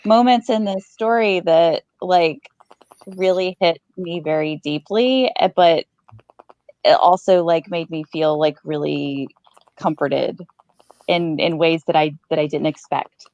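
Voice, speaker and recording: A woman's voice: low voice, measured pace, a little mellow, no room sound, highs cut off. A woman hosting a podcast in casual interview chat, talking in an effusive, affirming way. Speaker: podcast host.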